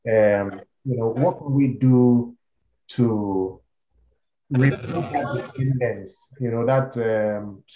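Guy moderating, warm mellow voice, lots of um and you know, echoey room on a video call. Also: mid-range voice, lo-fi sound, a little hiss or hum. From Nigeria. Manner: measured, hesitant, many fillers as he frames questions. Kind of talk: panel discussion moderating. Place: indoor room. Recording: roomy video call audio.

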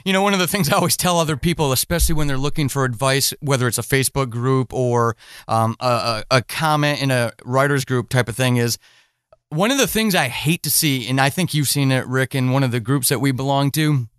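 A man's voice: mid-range voice, fairly quick, a bit bright, clean tone, no room sound, studio quality. A man hosting a podcast, talking casually with his guest in an informal interview.

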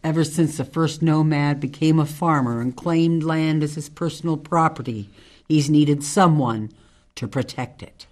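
Radio drama: tough female ex-military ranger, played by a woman, measured, earnest monologues, low-voiced for a woman. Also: a little mellow. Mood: solemn, earnest. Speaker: actor in an amateur radio drama.

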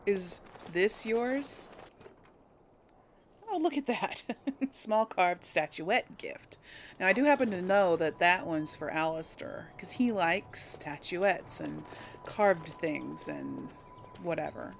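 A woman's voice: lowish voice, expressive, takes it slowly, gravelly, a little hiss or hum. Chatty woman doing game commentary. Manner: chatty, informal. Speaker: gaming video host. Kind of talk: casual let's play commentary with role-played dialogue. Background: video game ambience.

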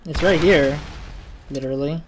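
A man's voice: a little high, moderate pace, slight background noise. Rambling young man with a Chinese accent. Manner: rambling, self-correcting, mutters to himself while playing. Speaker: gaming channel host. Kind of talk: casual let's-play commentary.

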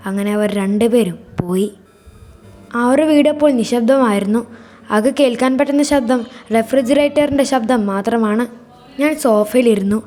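A woman tells a first-person horror tale as its narrator. Her voice sits somewhat high and has a very mellow, relaxed tone. She reads in a measured, suspenseful manner over faint music. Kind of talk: scripted first-person storytelling.

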